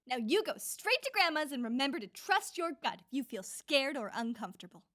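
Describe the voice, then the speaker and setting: A woman's voice: high voice, very animated, a little fast, music in the background, pitched below the performer's own voice. A woman voicing a caring, patient mother coaching her young child in a children's fairy tale.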